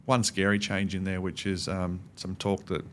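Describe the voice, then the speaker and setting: Middle-aged Australian male expert, very low and flat-pitched, measured explainer in interview mode, faint rumble behind. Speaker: podcast guest, cybersecurity expert. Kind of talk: conversational interview answers.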